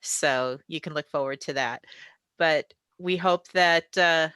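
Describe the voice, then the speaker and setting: A woman with a fairly low voice and a bright, forceful tone. The sound is very dry, without room echo. She speaks casually as a theatre presenter, moving between chat and announcements. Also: slow pace, smooth.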